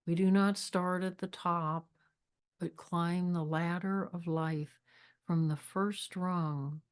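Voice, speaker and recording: A woman leading a guided meditation with spiritual readings, speaking in a measured, deliberate way with long pauses. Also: lowish voice, steady tone, clean tone. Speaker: meditation leader, spiritual minister.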